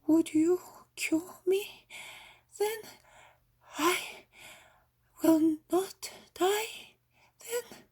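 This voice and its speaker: A woman voicing a thin, grey-haired old woman who speaks in high, wavering, breathy cries. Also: sing-song, slow, pauses often, darkish tone, exaggerated voice. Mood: weak, doubtful. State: feverish and weak at first.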